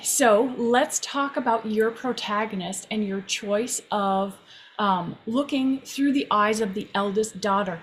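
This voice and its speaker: A woman hosting an interview in an unhurried, gently appreciative, measured way. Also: mid-range voice, a little hiss or hum, low-quality recording. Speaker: podcast host.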